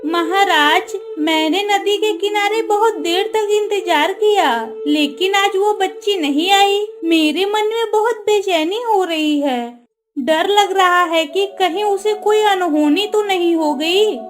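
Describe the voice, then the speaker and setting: A woman's voice: very high-pitched, slow. A woman voicing a tender, anxious, motherly queen in a Hindi cartoon story, formal and emotive.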